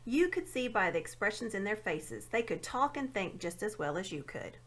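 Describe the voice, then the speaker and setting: A woman giving an expressive read-aloud narration of a children's fantasy story. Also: medium pitch, normal speed. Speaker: storybook reader.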